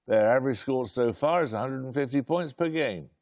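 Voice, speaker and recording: A man hosting a quiz show, reading out questions in a brisk, precise way with dry, wry humour. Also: medium pitch, darkish tone, dry recording.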